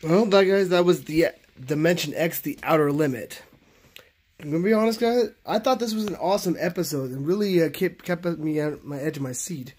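A man hosting a casual improvised plush puppet skit, with halting, repetitive delivery. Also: fairly high pitch, darkish tone, slightly rough. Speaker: host of puppet reaction videos. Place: carpeted bedroom.

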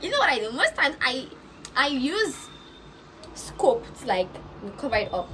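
A woman's voice: high-pitched, big pitch swings, a little slow, bright, forceful tone, poor audio. A young woman with a Nigerian accent, chatting casually to camera in a get-to-know-me vlog, stammering and laughing as she talks outdoors. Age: young adult. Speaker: vlogger. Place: outdoors on a balcony above rooftops. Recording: outdoor background noise. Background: street ambience.

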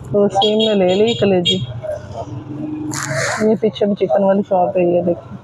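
A woman's voice: mid-range voice, flat delivery, measured pace. A middle-aged woman chatting to her viewers from the seat of a car. She narrates loosely, keeps returning to the same filler phrases, and talks into a phone held at arm's length. Faint traffic hum sits underneath her voice. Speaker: family vlogger. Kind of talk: casual vlog narration. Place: inside a car in a busy market street. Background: faint traffic and vehicle noise.

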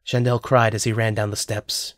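A man's voice: fairly low pitch, flat delivery, normal speed, a bit bright, dry recording, studio quality. A man narrating a scripted story as the narrator of an audio drama.

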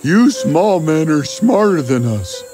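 A big, childlike, dim-witted cartoon giant, acted out by a man. He talks slowly and simply in a high voice that swings up and down, over faint music. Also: big pitch swings. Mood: earnest. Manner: simple, earnest, drawn-out. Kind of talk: animated fairy tale dialogue.

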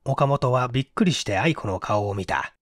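Guy reading a mystery story aloud, really lively intonation, dry close sound. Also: medium pitch, normal speed, dry recording. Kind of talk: dramatic scripted narration of a mystery story.

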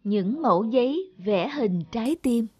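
A woman acting as the storyteller of a sentimental family cartoon, with measured scripted narration. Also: on the high side, lively intonation, a little mellow, breathy edge, no echo, clean studio sound.

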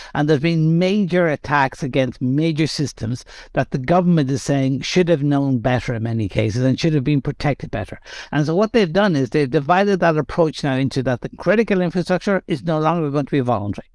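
A man's voice: a little high, expressive, brisk, no echo, clean studio sound. A man as a guest expert in an interview, explaining steadily and repeating phrases for emphasis. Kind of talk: conversational interview.

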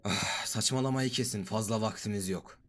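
Male actor as businesslike animated clone; deeper register, brisk and no-nonsense. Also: close to an everyday voice. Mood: brisk, impatient. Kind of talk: animated comedy dub in Turkish.

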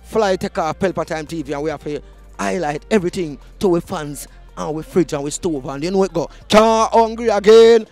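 A young Jamaican man hosting a street market vox pop outdoors with a handheld mic, hyped and shouting slogans in Patois banter. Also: sits high, a little fast, soft background music.

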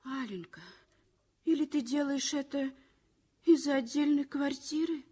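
A worried woman scolds a young nurse in a 1960s radio play. She speaks high and slowly, with anxious reproach. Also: steady tone, mellow. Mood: scolding.

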